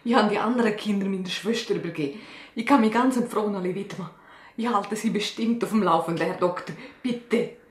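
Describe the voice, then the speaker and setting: Radio drama: woman playing worried, stubbornly pleading mother, medium pitch, roomy sound. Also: a little fast, lightly acted. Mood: pleading, afraid. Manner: imploring, stubborn. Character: anxious, pleading mother of a sick child. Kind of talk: German-language radio drama acting.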